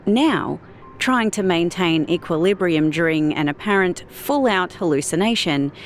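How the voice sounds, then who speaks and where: Slow, low-pitched woman narrating fiction. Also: slight background noise. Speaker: audiobook narrator.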